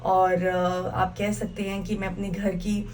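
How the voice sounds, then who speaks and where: A young woman from Bihar talks chattily to her vlog viewers and slips English words into her speech. Her throat is a little sore, and her pitch sits in a middle range. Faint household sounds can be heard behind her. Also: little pitch movement, slow pace, darkish tone, slight background noise. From Bihar, India. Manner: chatty, mixes English into Hindi, many fillers. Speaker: vlogger. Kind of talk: casual vlog chat to camera.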